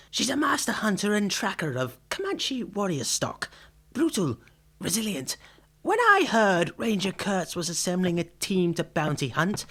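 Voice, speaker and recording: A man's voice: sits high, bright, forceful tone, husky, exaggerated voice. A man playing a fussy, bigoted, pompous tailor in a western radio serial, with exaggerated, effusive delivery. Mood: boastful, fussy.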